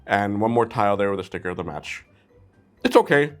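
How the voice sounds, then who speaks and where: A man's voice: lowish voice, lively intonation, a little fast, soft background music. A man hosting a casual, chatty product review, talking informally and playfully straight to his viewers. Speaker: host of a brick-set review channel.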